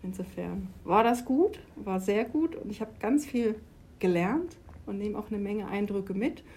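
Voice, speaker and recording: A woman's voice: mid-range voice, expressive, measured pace, darkish tone, breathy edge. A middle-aged woman from Switzerland, talking enthusiastically in an interview about her work in sport. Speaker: sports organization official. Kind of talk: conversational interview.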